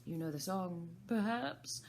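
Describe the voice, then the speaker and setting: A woman's voice: fairly low pitch, measured pace, gravelly, a little mellow, a little hiss or hum, voice pushed up. A woman voicing an eccentric, quarrelsome man with a mournful, sighing way of talking. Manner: mournful, then smugly argumentative.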